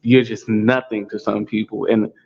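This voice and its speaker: A man's voice: lowish voice, level tone, normal speed, vocal fry, a little mellow, lo-fi sound. An American man hosting his own talk show, speaking in a solo monologue and driving his points home by saying them again and again. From United States. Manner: repetitive, emphatic, restating points.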